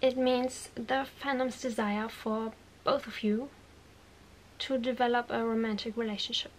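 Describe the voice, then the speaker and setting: High, slow-spoken bookish witch played by a woman, whispery ASMR, earnest and bossy, faint noise behind. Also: fairly even intonation, natural voice, rough recording. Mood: lecturing. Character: bookish, exasperated young witch lecturing two boys.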